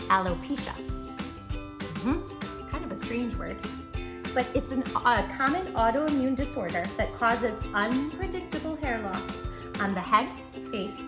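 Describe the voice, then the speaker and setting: A woman hosting a children's storytime, reading aloud expressively with dramatic emphasis and speaking directly to young listeners. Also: on the high side. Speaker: children's book read-aloud host. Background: music.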